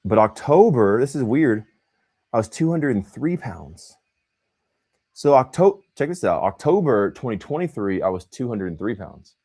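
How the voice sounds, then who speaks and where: Young man, host, casual chat, slangy, animated, pitch jumping around. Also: normal speed, husky. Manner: animated, slangy, says bro and man a lot.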